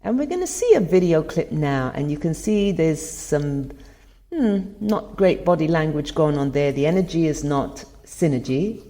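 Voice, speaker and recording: A woman's voice: low voice, big pitch swings, normal speed, dark tone. A woman hosting a podcast, talking earnestly through tips on communication and stressing key words. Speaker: podcast host. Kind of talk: motivational talk over video clips.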